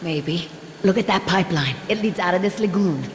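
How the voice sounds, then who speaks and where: A woman's voice: fairly low pitch, fairly quick, slight background noise, close to an everyday voice. Defiant woman spy played by an actress, echoing. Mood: hushed, determined. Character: defiant, remorseful female corporate spy. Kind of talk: dramatic video game voice acting. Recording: echoey hall reverb with music.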